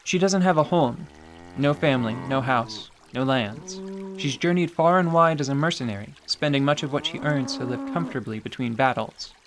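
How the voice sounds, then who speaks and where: A man narrating a scripted tabletop radio drama in a measured, wry way, over a music bed. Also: mid-range voice, expressive, background noise.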